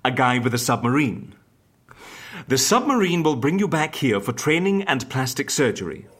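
Animated, genial agency interviewer. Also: male voice, medium pitch, moderate pace. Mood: reassuring, eager. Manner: theatrical, polite, hesitant then exclaiming. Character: male secret-agency interviewer, genial, eccentric, eager salesman. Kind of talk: audio drama comedy acting.

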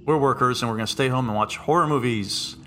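A man with a deep voice hosting a casual podcast chat, joking and rambling off into asides. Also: fast, sharp tone, slight background noise. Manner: jokey, rambling, with frequent asides. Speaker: podcast host.

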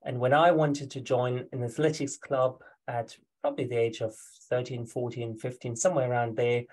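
A German-accented man answers interview questions in a friendly, halting way, pausing and restarting as he searches for words. His voice sits at an ordinary middle pitch. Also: little pitch movement, darkish tone. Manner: hesitant, many fillers and restarts, friendly. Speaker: guest, ultra runner. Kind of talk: podcast interview.

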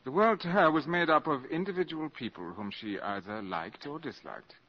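Shrewd valet spy, polite, cool irony, animated pitch, muffled 1950s radio recording. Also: male voice, moderate pace. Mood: smooth deference. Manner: cool, sardonic, formally polite. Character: suave, shrewd valet secretly selling secrets as spy. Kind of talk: 1950s radio drama acting. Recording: dull 1950s radio broadcast.

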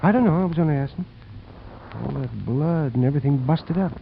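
A man's voice: a little high, expressive, a little fast, creaky. An American actor playing a nervous, rabbity little man in a 1950s radio drama, stammering and repeating himself as he pleads and dodges. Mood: wary, nervous. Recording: dull noisy vintage radio recording.

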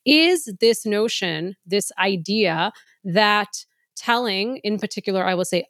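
Woman, mid-pitched voice, earnest and reflective, podcast co-host, dry sound. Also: fairly bright tone, dry recording. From United States. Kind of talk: conversational podcast discussion.

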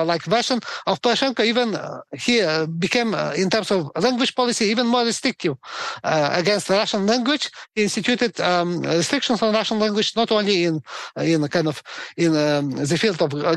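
Halting Ukrainian-accented man, high voice. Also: a bit bright, no echo. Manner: halting, many fillers and restarts. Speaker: guest expert. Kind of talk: interview answers.

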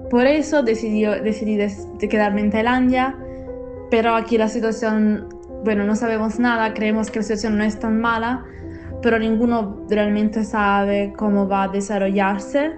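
A woman's voice: mid-range voice, level tone, moderate pace. A young Italian woman with an Italian accent tells a personal account, speaking as a non-native with slightly foreign phrasing. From Italy. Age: young adult. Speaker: interviewee telling personal experience. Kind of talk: personal account, narrated monologue. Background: music.

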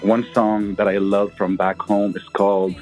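A man from Lebanon with a very low voice speaks in a near monotone. His voicemail is slow and thoughtful, with the odd filler as he gathers his words. Faint music plays beneath him. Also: poor audio. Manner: unhurried, reflective, with pauses and fillers. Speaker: listener leaving a voicemail. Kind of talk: voicemail message.

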